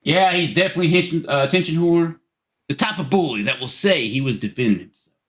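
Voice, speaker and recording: A man hosting a live call-in stream, hoarse from losing his voice, who reacts to clips in an excitable, mocking way and swears freely. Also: fairly high pitch, quick pace. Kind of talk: casual live stream commentary.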